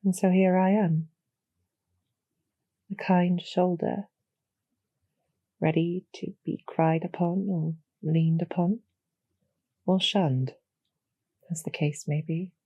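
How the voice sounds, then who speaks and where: A woman playing a kind, calm demon general in a roleplay audio drama, speaking in a measured, formal and gently persuasive way. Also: lowish voice, slightly rough, dry recording, highs cut off, heavily acted. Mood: gentle, formal.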